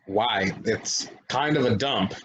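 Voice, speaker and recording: A man's voice: lowish voice, takes it slowly, a bit bright, slightly rough, heavily acted. A man at a stage play table reading, playing a cynical, troubled local who talks bluntly and dismissively.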